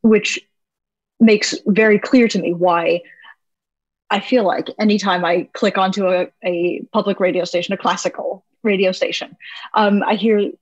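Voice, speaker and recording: A woman's voice: mid-range voice, fairly even intonation, unhurried, no room sound, dull audio. A woman hosting a podcast, asking questions in a curious, eager, chatty way. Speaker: podcast host. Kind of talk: casual interview chat. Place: home.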